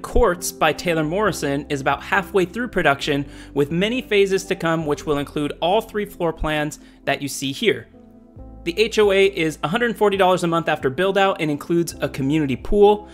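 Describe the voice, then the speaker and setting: A man who works as a real estate agent, narrating a scripted update on new home prices and incentives in a steady, informative way. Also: high voice.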